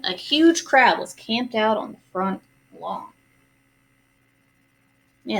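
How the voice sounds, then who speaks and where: A woman's voice: a little high, fairly bright tone, poor audio. A woman reading a storybook aloud to young children expressively, adding simple, casual explanations.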